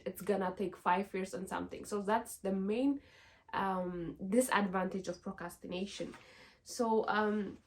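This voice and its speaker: A woman's voice: mid-range voice, a little mellow. Earnest woman vlogging. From Ethiopia. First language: Amharic. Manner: earnest, encouraging, many fillers like "like" and "you know". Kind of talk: casual self-help vlog.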